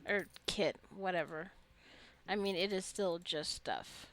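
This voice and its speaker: A woman's voice: on the low side, unhurried, fairly bright tone. A woman giving casual gameplay commentary, rambling and thinking aloud as she plays. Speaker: gamer narrating her own gameplay.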